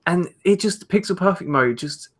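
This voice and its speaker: Bright-voiced young British man. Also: sits high, expressive, moderate pace. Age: 20s. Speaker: podcast co-host. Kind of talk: casual gaming chat. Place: home room, indoors. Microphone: headset on, video call.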